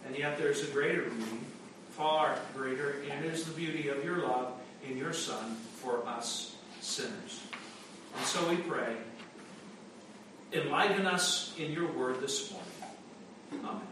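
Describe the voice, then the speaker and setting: A man's voice: on the high side, a little slow, noisy background. A man preaching a sermon as pastor, conversational and full of restarts and fillers, in a church. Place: church sanctuary. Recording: echoey church from a distance.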